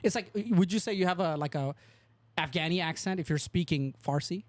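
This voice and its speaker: An American man co-hosting a podcast in casual chat, animated and teasing, saying "bro" often. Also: a little high, brisk, no room sound. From United States. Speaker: podcast co-host.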